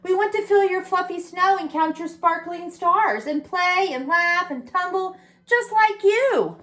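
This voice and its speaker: A woman's voice: extremely high pitch, unhurried, a bit bright, voice pushed up. A woman voicing a dreamy, eager little female blue owl in a storybook, sweet in manner. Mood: eager pleading.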